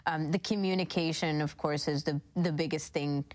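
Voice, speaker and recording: Low-pitched woman. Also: steady tone, moderate pace, darkish tone. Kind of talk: casual on-air chat.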